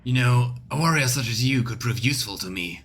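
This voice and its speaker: A man streaming a video game, reading dialogue and narration aloud in a measured way with casual asides. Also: mid-range voice, a bit bright, a little hiss or hum. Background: game music.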